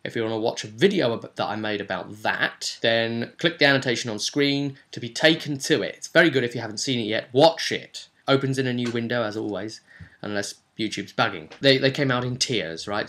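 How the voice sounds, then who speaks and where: A man with a bright, forceful voice at a middling pitch talks casually as a vlog host. He is emphatic and informal, explaining tabletop gaming with enthusiasm. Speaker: host and game master. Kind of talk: casual vlog talk about a tabletop role-playing game.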